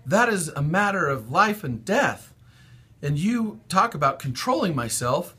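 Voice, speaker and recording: A man's voice: fairly high pitch, sing-song, a little slow, bright, forceful tone, husky, pitched above the performer's own voice, heavily acted. A man voicing a passionate, indignant young farm girl from a storybook, pleading in emphatic outbursts. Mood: indignant, yelling.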